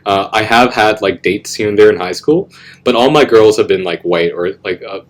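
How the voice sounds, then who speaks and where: A young man hosting a casual chat, animated and laughing often, full of "bro" and "like", with a deep voice. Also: normal speed. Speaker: host.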